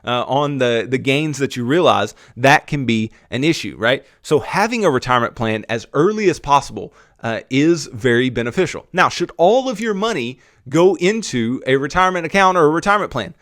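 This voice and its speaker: Man, podcast host, conversational finance explainer, mid-pitch voice, dry close sound. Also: lively intonation, brisk, few pauses, a bit bright, dry recording. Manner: conversational, frequent "right?" and "okay?" check-ins.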